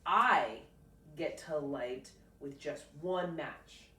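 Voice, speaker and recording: A woman's voice: low-pitched, animated, drawn-out words, bright, forceful tone, full character voice. A woman voicing an earnest, eager young boy from a children's storybook, with simple, sincere delivery. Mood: proud. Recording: roomy, distant mic, faint noise.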